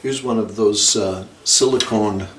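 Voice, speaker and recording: A man with a lowish voice talks through a hands-on repair job. His delivery is very slow, hesitant and unscripted. The sound is noticeably roomy, with a noisy background. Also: recorded from a distance. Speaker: electronics repair video host. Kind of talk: hands-on repair tutorial narration.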